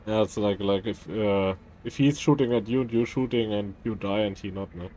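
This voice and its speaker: A man from Germany with a German accent, chatting casually while streaming a game, rambling with many halting restarts. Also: lowish voice, warm tone, slight background noise. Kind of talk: casual gaming chat.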